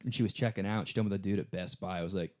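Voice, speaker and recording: Young American male podcast co-host, slightly low-pitched, casual storyteller with loose, sweary slang. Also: fairly even intonation, rapid-fire, a little mellow. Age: young adult. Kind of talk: casual chat and anecdotes.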